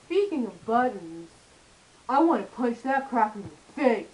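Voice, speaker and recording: A woman's voice: on the high side, very animated, normal speed, darkish tone, rough recording. A woman voicing chatty, opinionated male puppets in a scripted school history show. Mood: brash.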